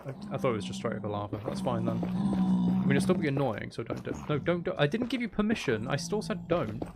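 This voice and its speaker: A man's voice: medium pitch, very animated, fast talker, gravelly, loud music behind the voice. A man giving casual gameplay commentary, rambling and thinking aloud to himself as a gaming video host.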